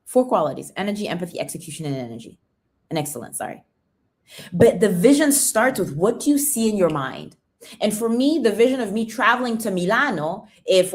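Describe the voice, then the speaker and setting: A woman hosting a motivational livestream, chatting casually and greeting viewers by name. She often tags her points with "right?" and English is not her first language. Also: medium pitch. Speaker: livestream host, supplement seller.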